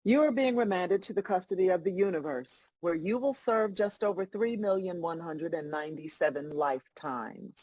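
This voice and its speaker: A woman playing God as a stern, composed judge, delivering measured, scolding lines in a dramatic script read. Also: fairly low pitch, lively intonation, no room sound, dull audio. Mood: solemn sentencing.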